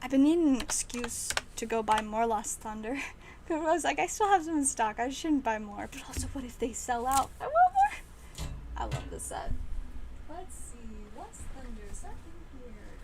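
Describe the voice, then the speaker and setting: A woman hosting a card-opening livestream, chatting casually and excitedly, her voice slightly raspy and strained. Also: sits high, moderate pace, background noise. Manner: chatty and excitable, with frequent laughs. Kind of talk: casual livestream chat while opening packs.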